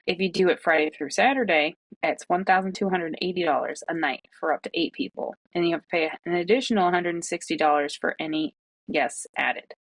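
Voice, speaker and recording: A young woman from the with a gravelly, fry-edged voice that sits on the low side, talking in a casual vlog. She rants with incredulous, exasperated asides. The recording is dry and close. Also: no room sound, low-quality recording. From Massachusetts, North Shore of Boston. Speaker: true crime YouTuber.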